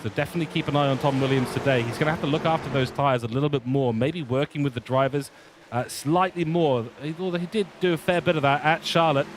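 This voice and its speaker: A man's voice: on the high side, fairly even intonation, fast, a little hiss or hum. British man calling a sim race. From England. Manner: chatty, reads viewer chat aloud, many fillers. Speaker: sim racing commentator. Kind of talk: live race commentary.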